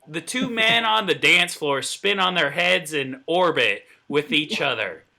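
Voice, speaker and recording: A man reads screenplay narration in a very bright, forceful voice, pitched a little high. He sounds like he is at an informal read-through, stumbling now and then. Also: lively intonation, a little slow, creaky. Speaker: table reader of script narration and dialogue. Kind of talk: scripted narration read aloud.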